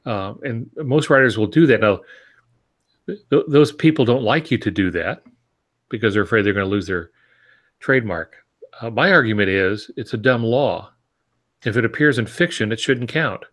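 A man's voice: medium pitch, lively intonation, moderate pace, long pauses, a bit bright. An American man in his sixties chatting casually as a podcast co-host on a video call. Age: 60s. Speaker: podcast co-host, fiction writer. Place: home office, on a video call.

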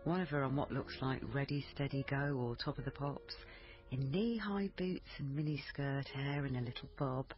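Observant, sober female police officer, narrating a radio crime drama; slightly dull sound over clear music. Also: very deep voice, normal speed. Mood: reflective, quiet. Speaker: lead actress and first-person narrator.

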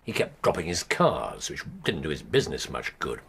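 An actor with an English accent playing a precise, dry-witted, commanding gentleman detective in a radio drama. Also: very deep voice, very animated, moderate pace, a little hiss or hum, exaggerated voice. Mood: intent, grave.

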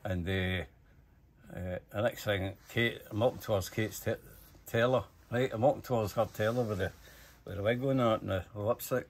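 An older Scottish man with a husky, unpolished voice tells a rambling personal anecdote. He talks casually and swears freely. He plays out each side of the conversations he recalls in an animated manner. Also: lowish voice, moderate pace. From Scotland. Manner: animated, sweary, acts out dialogue. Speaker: storyteller.

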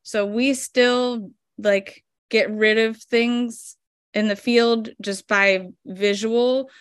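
A woman's voice: on the high side, steady tone, very slow, smooth, no room sound, clean studio sound. A woman in her thirties, a flower farmer guest explaining her work step by step with lots of fillers, over a video call from home. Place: home room indoors. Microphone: video call webcam.

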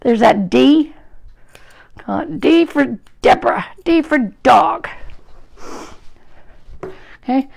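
Gravelly-voiced woman groomer, chatty. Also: sits high, lively intonation, slow pace, long pauses, darkish tone, slight background noise. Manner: chatty, talks to viewers while working on the dog. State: hoarse from an illness. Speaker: dog groomer and breeder. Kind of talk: live-streamed grooming demonstration. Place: grooming salon, indoors. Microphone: phone camera propped at the grooming table.

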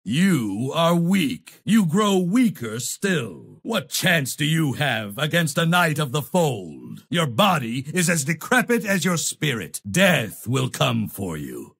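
A male actor playing a proud, zealous holy knight leader in a fantasy video game, with a gravelly, husky voice and grandiose, solemn delivery. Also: on the high side, very animated, a bit bright, exaggerated voice. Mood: menacing. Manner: grandiose, solemn, deliberate declamation. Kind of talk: theatrical fantasy game voice lines.

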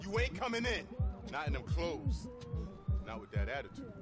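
A man's voice: very high voice, huge pitch swings, normal speed, heavily acted. A man playing a stubborn, scornful nightclub doorman in a video game, confrontational and dismissive, with an American accent. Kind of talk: video game cutscene acting. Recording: voice under loud club music.

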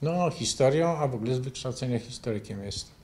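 An older man from Poland speaks as an interview guest. His pitch sits in an ordinary middle range for a man. He explains his points in a measured way, like a teacher setting out definitions. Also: expressive, a little mellow, slight background noise. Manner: measured, didactic. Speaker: interview guest, bioenergy therapy teacher and author. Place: furnished living room, indoors.

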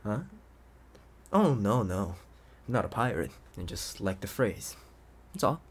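A man playing an obsessive, playful young intruder in an ASMR roleplay, coaxing the listener like a doting owner, with hesitant pauses and hushed asides. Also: on the low side, very animated, slightly rough, full character voice. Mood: playful, smug.

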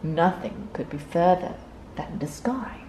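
A woman storyteller reading a children's picture book aloud in an expressive, deliberate way. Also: on the low side, in a whisper, poor audio.

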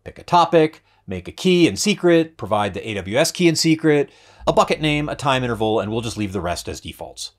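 A man in his forties walks through a software demo to camera, mixing clear explanation with dry, joking asides. His pitch swings widely and expressively, rising for emphasis and dropping for throwaway remarks, over a middle-pitched voice. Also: big pitch swings, fairly quick. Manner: wry, playful, conversational asides. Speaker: developer advocate presenting a product demo. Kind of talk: scripted tech demo narration. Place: home study with bookshelves, indoors.

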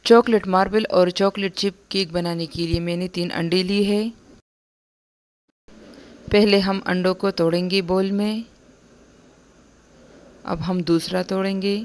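A young woman host giving a calm, step-by-step recipe voice-over for her home cooking channel. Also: mid-range voice, unhurried, long pauses. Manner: calm, instructive, repeats steps plainly.

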